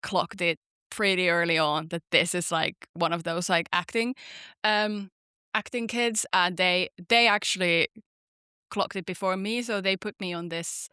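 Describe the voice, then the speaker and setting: A woman's voice: fairly low pitch, a little slow, clean tone, no room sound, studio quality. A young woman from Finland, a podcast guest and actor, chatting casually with lots of "like" fillers. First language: Finnish. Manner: chatty, many "like" fillers, lively storytelling. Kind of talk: casual interview chat.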